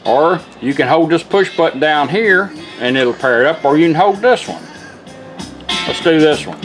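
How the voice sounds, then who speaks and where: A man's voice: a little high, lively intonation, normal speed, fairly bright tone, low-quality recording. A man giving a casual, folksy product demonstration as he walks through the controls of a device. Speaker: radio restorer demonstrating a product. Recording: music playing from a radio behind. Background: radio music with guitar.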